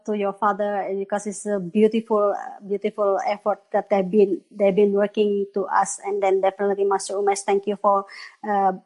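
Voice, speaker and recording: A woman's voice: mid-range voice, a little mellow, poor audio. A woman from Indonesia with an Indonesian accent, a guest teacher chatting casually, rambling in broken phrases and laughing often. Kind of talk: casual live-stream chat.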